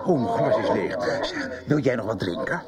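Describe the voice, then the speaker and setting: An actor playing a sociable, playfully pushy drinking companion in a radio soap. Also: male voice, medium pitch, very animated, normal speed, a loud crowd, natural voice. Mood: chatty. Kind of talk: Dutch radio soap acting. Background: loud music.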